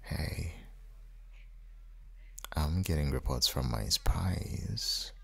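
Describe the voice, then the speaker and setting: A man playing an affectionate, playfully teasing young dad and husband in an intimate ASMR audio roleplay, speaking softly and often dropping into whispers. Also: expressive, exaggerated voice. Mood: gentle teasing. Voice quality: very deep, husky, gravelly, breathy. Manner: soft, unhurried, often slipping into whispers.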